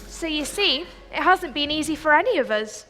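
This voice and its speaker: A woman's voice: high voice, expressive, moderate pace, pushed and bright, faint music behind. A woman playing an earnest, meek young princess in a stage pantomime, chatting and telling her story. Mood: storytelling. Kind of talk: pantomime stage acting and narration. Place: theatre stage. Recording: hall reverb, live stage production.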